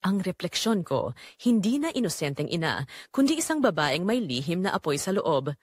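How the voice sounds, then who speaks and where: A woman with a Filipino accent narrating a scripted drama in the first person, measured and emotive with pauses. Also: on the low side, very animated, a little mellow, husky, dry recording, studio quality. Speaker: storyteller.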